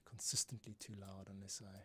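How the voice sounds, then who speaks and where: A man's voice: deep voice, level tone, fairly quick, bright, forceful tone, husky. A man thinking aloud in a casual way as he works through a music production stream, often muttering to himself. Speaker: composer hosting a live music production stream. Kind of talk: casual think-aloud tutorial while working. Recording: music playing behind the voice. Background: score playback and computer keyboard clicks.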